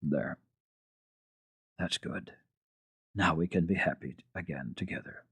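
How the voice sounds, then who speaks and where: A man voicing a kindly, encouraging old grandmother in a storybook reading, coaxing and deliberate but gently firm. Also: sits low, husky, full character voice. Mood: soothing. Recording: narrow-band, echoey old radio recording.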